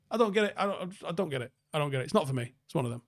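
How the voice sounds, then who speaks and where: A man with a softened Manchester, northern English accent, chatting casually and bluntly as a livestream host answering viewer comments, with plenty of swearing. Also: fairly high pitch, lively intonation, fast talker, no room sound, clean studio sound.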